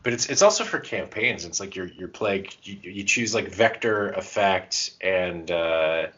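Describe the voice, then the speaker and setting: A man's voice: low-pitched, animated, a little slow, a bit bright, breathy edge, highs cut off. A man co-hosting a podcast in casual chat, joking and self-deprecating. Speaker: podcast co-host.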